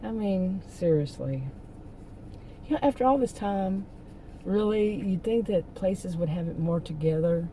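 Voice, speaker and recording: A middle-aged woman, hoarse from losing her voice, rambling and venting in a casual vlog from a parked car. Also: medium pitch, lively intonation, a little slow, mellow, a little hiss or hum, low-quality recording. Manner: rambling, venting, with many restarts. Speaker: vlogger.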